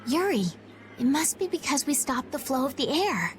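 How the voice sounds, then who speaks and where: Game heroine: courteous young noblewoman, gentle, high-pitched, earnest. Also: animated, moderate pace, slight background noise. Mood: polite, concerned. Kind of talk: video game voice acting.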